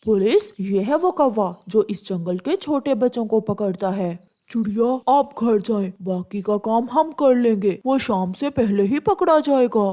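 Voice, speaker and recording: A woman voicing a steady, helpful bird uncle in a children's cartoon, measured and authoritative. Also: fairly high pitch. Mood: calm, official. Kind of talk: Hindi children's cartoon dialogue.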